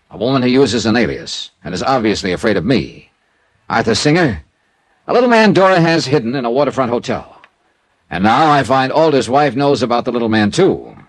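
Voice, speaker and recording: A hard-boiled insurance investigator from a classic radio serial. His mid-pitched voice swings widely in tone, with a sardonic, clipped edge. He sounds tough and wry. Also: dry recording, low-quality recording. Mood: brisk reasoning. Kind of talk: classic radio detective drama acting. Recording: vintage radio drama with music.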